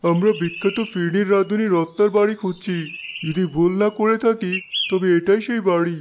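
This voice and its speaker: A man's voice: sits high, fairly even intonation, normal speed, a little hiss or hum, lightly acted. A man voicing imperious royal figures in a fairy-tale cartoon, formal and declamatory. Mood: formal, courteous. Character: imperious king and his courtly royal messengers.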